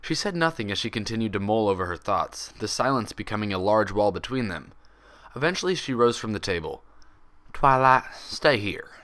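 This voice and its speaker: A man's voice: fairly low pitch, very animated, a little fast, a bit bright. A man reading fan fiction aloud as scripted story narration. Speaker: fan fiction audiobook reader.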